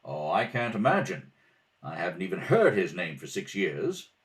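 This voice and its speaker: A man playing a suave, wry gentleman detective in an old radio mystery. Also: sits low, wildly swooping pitch, moderate pace, far from the mic, pitched below the performer's own voice. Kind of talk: old radio mystery acting, rehearsal read-through.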